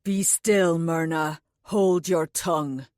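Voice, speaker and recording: Woman doing a lowered commanding male voice, slow and gentle, audiobook character, dry close recording. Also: steady tone, dry recording. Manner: deep, slow, authoritative yet gentle. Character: commanding grave man, gentle with child.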